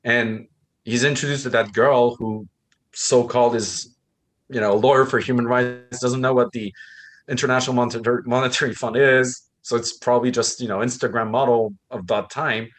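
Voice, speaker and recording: Impassioned man at mid pitch. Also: level tone, normal speed, low-quality recording. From Thailand. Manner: impassioned, acts out quoted speakers. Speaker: co-host. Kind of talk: book discussion chat.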